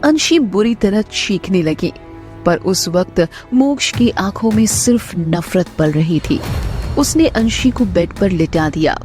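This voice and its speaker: Female narrator, slow pace, medium pitch, scripted dramatic storytelling, soft music behind. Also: animated.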